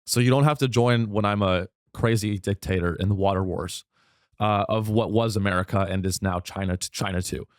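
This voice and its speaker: A man's voice: fairly even intonation, a little fast, no echo, clean studio sound. A man hosting his own podcast in a rambling, irreverent comedic monologue, swearing freely, his voice wearing thin as he loses it. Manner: rambling, irreverent, swearing, with sudden high pitch jumps. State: losing his voice. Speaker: podcast host.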